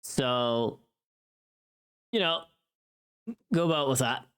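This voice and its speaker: Livestreamer, mid-range pitch, vocally tired, informal and rambling chat delivery. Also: slow pace, a bit bright, clean tone. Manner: rambling, informal, mildly sweary. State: tired voice from long recording.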